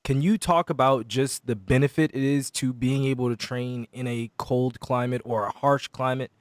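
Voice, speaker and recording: Joking male co-host, mid voice, dry close mic, quick to laugh. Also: medium pitch, steady tone, no echo. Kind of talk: casual sports show interview.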